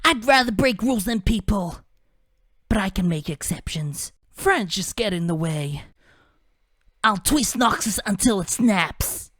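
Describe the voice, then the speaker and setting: A self-possessed heroine from a game performed by a man, proud and poised. The pitch is fairly high and expressive, and the pace is measured, in a dry recording. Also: no echo, heavily acted. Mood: resolute.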